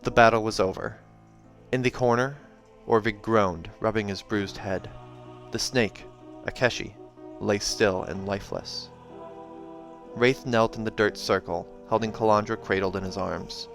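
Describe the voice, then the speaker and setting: A man hosting a read-aloud of a novel, narrating in an expressive, dramatic style. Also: fairly low pitch, unhurried. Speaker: host reading a novel aloud. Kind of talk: dramatic audiobook narration with casual asides. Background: soundtrack music.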